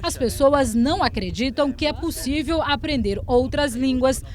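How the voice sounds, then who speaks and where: A woman reporter reading scripted news narration in an even, measured voice, with a Brazilian Portuguese accent. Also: high voice.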